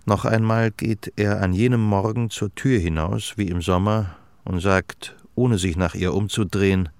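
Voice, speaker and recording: An actor playing the sober narrator of a melancholy love story in a literary radio play. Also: male voice, lowish voice, fairly even intonation, measured pace, darkish tone, clean tone, dry recording. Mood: reflective, sober.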